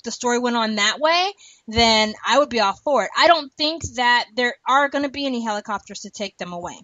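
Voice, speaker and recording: A woman's voice: on the high side, lively intonation, brisk, pushed and bright, no echo. A woman hosting a casual podcast discussion, chatty and giggly as she rambles through her opinions. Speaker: podcast host. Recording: dull lo-fi podcast audio.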